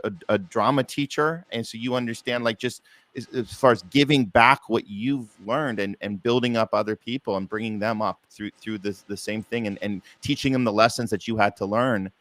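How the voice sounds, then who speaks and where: A man's voice: mid-range voice, normal speed, a bit bright, no room sound. A man hosting a casual interview, talking in an effusive, appreciative, rambling way. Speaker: podcast host, theater producer.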